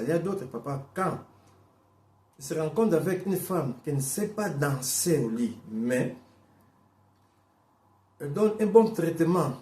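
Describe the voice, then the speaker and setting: Emphatic preacher, dark echoey voice. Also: male voice, a little high. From Portuguese-speaking Africa. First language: Portuguese. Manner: emphatic, repeats and restarts phrases, shifts between slow stress and quick bursts. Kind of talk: sermon.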